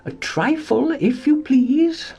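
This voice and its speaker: Very old mild peddler, gravelly creak, extremely slow, theatrical verse, storybook drama. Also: male voice, extremely high pitch, darkish tone, faint music behind, heavily acted. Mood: mild, wheedling. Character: mild, very aged poor peddler man.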